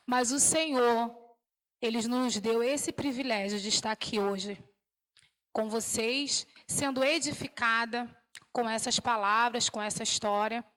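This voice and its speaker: A woman's voice: a little high, sharp tone. A woman in her thirties from Salvador, Bahia, gives an emotional, fervent church testimony on a TV program. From Salvador, Bahia, Brazil. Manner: emotional, fervent preaching tone with frequent "né?". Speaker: guest giving a testimony.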